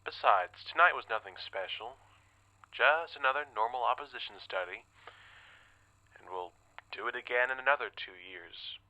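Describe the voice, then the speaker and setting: A man playing a mild-mannered, sceptical astronomer in an audio drama, speaking in a measured way. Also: mid-range voice, sharp tone, lo-fi sound, slight background noise. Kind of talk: modern audio drama acting with spoken log entries.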